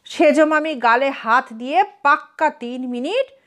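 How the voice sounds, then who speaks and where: A woman narrating a comic story from a script in an expressive, animated way, acting out the characters' lines. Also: high-pitched, a little slow.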